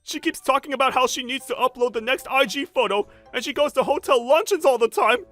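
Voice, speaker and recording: A woman's voice: sits high, fairly quick, a bit bright, faint music behind. A woman voicing an arrogant, whiny young husband in a manga dub, scornful and exaggerated. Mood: whining. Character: arrogant, whiny, cheating young husband obsessed with fancy food.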